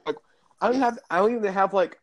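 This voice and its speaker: A man's voice: sits high, quick pace. A teenage boy in a casual chat, rambling and hesitant, often trailing off mid-thought. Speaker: co-host friend.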